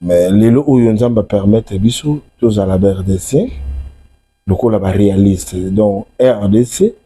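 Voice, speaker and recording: A man's voice: on the low side, a little slow, warm tone, breathy edge. A man from the Congo with a Congolese accent, explaining his religion as an interview guest and restating key words. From Democratic Republic of the Congo. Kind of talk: explanatory interview talk, mixing French and Lingala.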